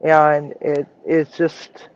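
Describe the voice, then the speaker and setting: Brief: woman, low voice, candid guest chef, casual livestream chat, lo-fi remote audio. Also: little pitch movement, drawn-out words, darkish tone, dry recording. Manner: frank, candid storytelling.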